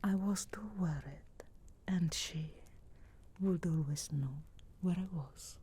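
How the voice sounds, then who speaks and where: Sardonic wilds witch, acted by a woman, ASMR roleplay: very breathy whisper, low register, measured and archly formal. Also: warm tone, exaggerated voice. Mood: guarded, wry. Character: sharp-tongued young witch of the wilds, sarcastic, guardedly caring.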